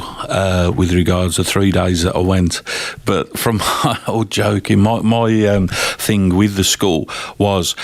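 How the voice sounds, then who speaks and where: A man in his fifties with a Northern Irish lilt softened by years in the West Midlands, a company chief answering radio interview questions in a plain-spoken, rambling way with lots of ums. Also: lowish voice, no echo. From Northern Ireland. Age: 50s. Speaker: company CEO, interview guest.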